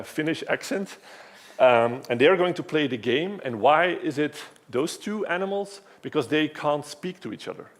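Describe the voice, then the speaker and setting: A man at a conference lectern walks the audience through a technical topic. His pitch sits right in the middle of the ordinary male range. He talks in a plain explanatory way, makes light of himself, and often restarts his sentences. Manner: explanatory, self-deprecating, frequent restarts. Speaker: conference speaker. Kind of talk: live technical presentation.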